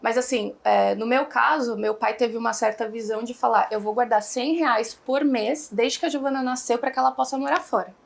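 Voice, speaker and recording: A woman's voice: fairly high pitch, normal speed, vocal fry, hardly any pauses. Woman, from Brazil, young adult, casual interview guest, rambling and chatty. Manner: chatty, rambling with many fillers, laughs often. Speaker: guest, founder of an online English school.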